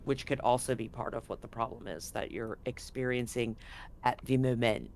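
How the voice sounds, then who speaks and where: A game master talks players through a tabletop roleplaying scene. The voice sits at a middle pitch and explains details conversationally. There is faint noise behind the voice. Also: moderate pace.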